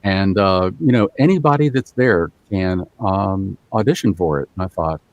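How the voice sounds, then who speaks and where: Male voice actor as podcast guest, low-pitched, rambling anecdotes, lots of ums. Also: moderate pace. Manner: rambling, anecdotal, many fillers. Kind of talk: casual podcast storytelling.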